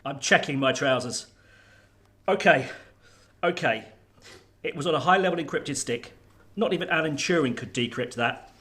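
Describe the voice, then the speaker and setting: A man's voice: on the high side, normal speed, long pauses, sharp tone. A man acting in a radio drama as a callous, sardonic general who can sound smug or flustered. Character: callous military general, smugly mock-innocent, prone to fluster.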